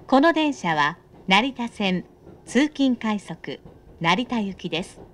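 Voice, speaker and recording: A woman's voice: medium pitch, sing-song, takes it slowly. A woman reading scripted, pre-recorded train announcements in a polite, formal and carefully enunciated way.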